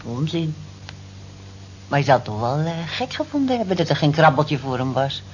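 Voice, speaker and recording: A woman's voice: low voice, moderate pace. An actress playing a nosy, teasing female friend who chides and pries expressively in a radio drama. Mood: prying, teasing. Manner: prying, expressive, chiding. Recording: dull radio recording, faint noise.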